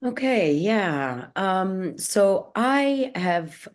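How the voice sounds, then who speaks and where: A woman's voice: mid-range voice, sing-song, drawn-out words. A woman from Egypt, a yoga teacher, speaking earnestly as an interview guest, often restarting her sentences. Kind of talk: reflective interview talk.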